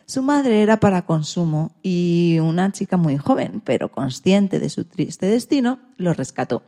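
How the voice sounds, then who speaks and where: A woman hosts a radio show in a conversational way. Her voice sits fairly low and has a dark, mellow tone. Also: animated, unhurried.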